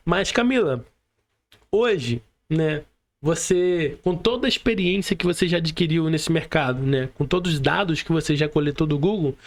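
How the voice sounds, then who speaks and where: A Brazilian man hosting a casual podcast interview, informal and often checking in with tag questions. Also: a little high. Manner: informal, frequent tag questions seeking agreement. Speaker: podcast host interviewing a guest.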